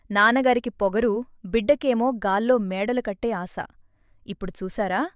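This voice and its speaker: A woman voicing a spiteful middle-aged village woman who mocks her neighbours loudly. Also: fairly high pitch, dry recording, studio quality, close to an everyday voice. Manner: loud, sneering, taunting.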